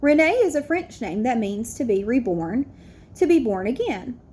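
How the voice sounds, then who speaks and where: A woman's voice: on the high side, very animated, moderate pace, warm tone, breathy edge. A woman voicing a proud, earnest young immigrant schoolboy telling his own story in a picture-book read-aloud. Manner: earnest, declarative.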